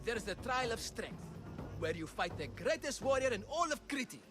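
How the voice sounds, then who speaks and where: A man's voice: very high-pitched, sing-song, normal speed. An actor playing a flamboyant, scheming ancient Greek showman in a video game, grandiose and prone to flustered stammering, with music behind him. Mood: boastful pitching. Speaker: carnival-style promoter. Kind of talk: theatrical video game acting.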